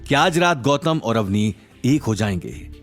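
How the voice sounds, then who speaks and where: Male drama narrator, animated pitch movement, scripted storytelling over light music. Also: normal speed.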